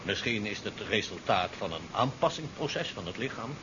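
An actor playing a measured, deferential ship's doctor in a 1970s science fiction radio play. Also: male voice, on the low side, expressive, close to an everyday voice. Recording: dull, noisy 1970s radio drama recording.

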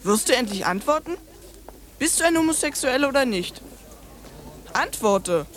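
A woman's voice: high-pitched, very animated, normal speed, crowd noise. A woman playing a brash, foul-mouthed, stubborn young girl in a radio drama, bluntly rude and insistent. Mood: defiant, demanding.

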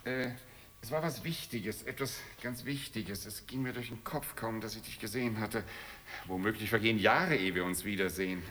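Hesitant, forgetful low-voiced man. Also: pushed and bright, slight background noise. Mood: searching, wistful. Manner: hesitant, halting, searching for words. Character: forgetful man groping for a lost important thought. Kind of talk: naturalistic German radio play acting.

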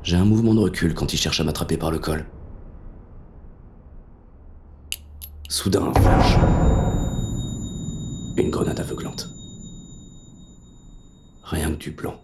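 Actor as very deep, gravelly hunted man. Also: normal speed, pauses often, a little mellow, slight background noise. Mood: tense narration. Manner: crude, colloquial. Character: confused hunted man, crude and lustful, narrating his escape. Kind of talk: first-person audio drama narration with dialogue.

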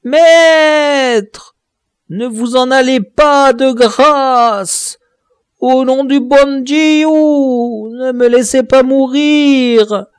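A man reading an audiobook voices a vengeful male dwarf jester in a high, loud, strained voice. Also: very animated, takes it slowly, dry recording, studio quality. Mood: wailing pleading. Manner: shrill snarling threats, later high wailing pleas. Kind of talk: audiobook character voice.

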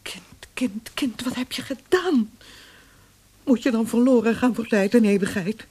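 A woman's voice: a little high, measured pace, a little mellow, breathy edge. An actress playing a strict, pious middle-aged mother, solemn and pleading, in a radio drama. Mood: anguished, pleading. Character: strict, pious, grieving mother of a grown son.

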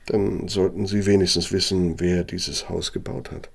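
An actor in a radio play voicing a pompous, bitter older architect with a pedantic, condescending way of talking and a gravelly, husky voice. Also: male voice, deep voice, flat delivery, moderate pace, heavily acted. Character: pompous, bitter old architect dictating private reckonings. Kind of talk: radio play monologue and dialogue.

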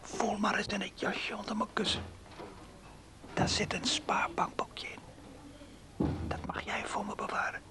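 An actor playing a frail, bedridden old father in a radio play, breathy and halting, weary and gently resigned. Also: sits high, flat delivery. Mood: tender, generous. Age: older. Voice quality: breathy, whispery, creaky.

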